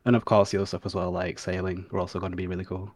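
A man talks casually as a guest on a podcast. His voice sits on the low side, and the sound is dry and close. He speaks in an easygoing, tentative way with small fillers. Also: little pitch movement, racing, darkish tone, no echo. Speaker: podcast guest, game company QA analyst. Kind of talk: casual chat.

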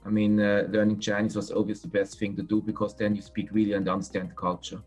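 A man's voice: lowish voice, flat delivery, a little mellow, soft background music. A man from Switzerland with a Swiss accent, a podcast guest talking frankly and often restarting his sentences. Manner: frank, halting, restarts phrases mid-sentence. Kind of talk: casual interview conversation.

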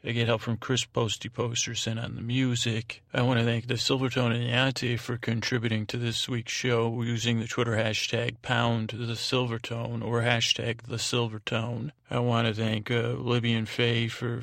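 A man with a creaky voice hosting a sleep podcast in a halting, meandering, informal monologue that often doubles back on itself. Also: medium pitch, monotone, a bit bright, no room sound, studio quality. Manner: halting, meandering, self-interrupting. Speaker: podcast host.

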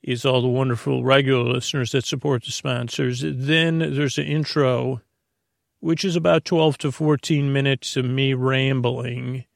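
A man with a creaky voice hosting a bedtime podcast in a meandering, halting ramble that keeps interrupting itself and drifting off topic. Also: medium pitch, level tone, dry recording, clean studio sound. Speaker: podcast host.

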